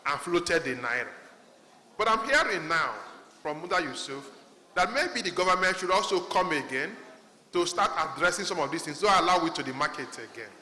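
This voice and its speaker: Man moderating, piercingly bright tone, halting delivery, roomy echo. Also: a little high. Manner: halting, stammering questions. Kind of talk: panel moderation. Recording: roomy echo, long hall reverb.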